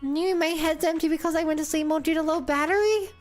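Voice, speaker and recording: A woman's voice: extremely high pitch, fairly even intonation, fast talker, faint music behind. A woman voicing a forlorn, empty-headed little robot helper from a video game, naive and earnest. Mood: puzzled.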